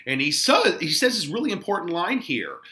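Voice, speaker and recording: Animated, bright-voiced man teaching informally. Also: high voice, brisk. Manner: conversational, expressive, colloquial. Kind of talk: informal literature lesson commentary.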